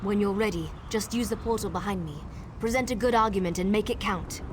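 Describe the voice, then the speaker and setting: An actress as a Wood Elf woman from a fantasy game, anxious for her people. She speaks in a mid-range voice, earnest and urgent, with clear background ambience behind her. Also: mid-range voice, a little fast, heavy background noise, lightly acted. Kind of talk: video game quest dialogue.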